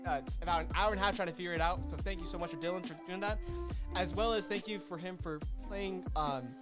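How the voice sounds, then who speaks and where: A man hosting a casual livestream, giving effusive, halting thanks with repeated phrases. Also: high voice, fairly even intonation, music in the background. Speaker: co-creator and host of a release stream.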